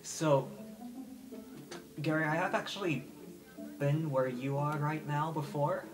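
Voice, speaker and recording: A player voicing a supportive student friend in a tabletop game, hesitant and gently reassuring. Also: medium pitch, slow, pitched above the performer's own voice, lightly acted. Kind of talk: improvised tabletop roleplay. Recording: music behind the voice.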